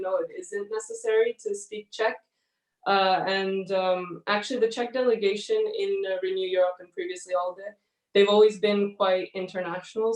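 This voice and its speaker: Woman, thirties, parliamentary assistant, flat even delivery, room echo, off-mic. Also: mid-range voice. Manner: detailed, frequent fillers. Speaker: assistant to a member of the European Parliament. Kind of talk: online panel discussion. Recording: roomy, distant from the mic.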